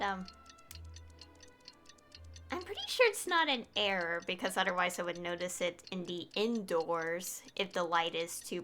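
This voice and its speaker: Female game streamer: lively intonation, casual, halting think-aloud commentary, soft game music underneath. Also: fairly low pitch. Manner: hesitant, thinking aloud, trailing off. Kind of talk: casual live gameplay commentary.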